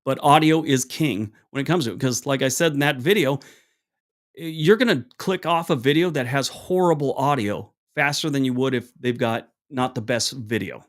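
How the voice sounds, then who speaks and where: A man with a deep voice hosting a casual livestream, answering chat questions in a rambling, chatty way with many restarts. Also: moderate pace. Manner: acts out both sides of an anecdote with raised pitch. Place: room at home. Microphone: small wireless clip mic.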